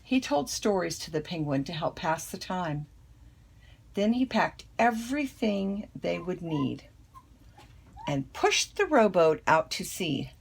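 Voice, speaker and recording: Grandmother storyteller: older female, lowish and husky, children's read-aloud narration. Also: very animated, slow. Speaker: grandmother reading a picture book.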